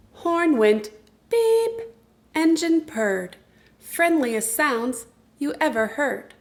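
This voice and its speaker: A woman reading a storybook aloud for young children, in an expressive, deliberate, rhythmic delivery. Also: on the high side.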